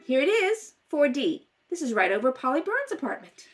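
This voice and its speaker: A woman's voice: high voice, big pitch swings, normal speed, soft background music. A woman playing an anxious, inquisitive and affectionate wife in an amateur radio mystery read-through. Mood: curious, brisk. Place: at a kitchen table at home.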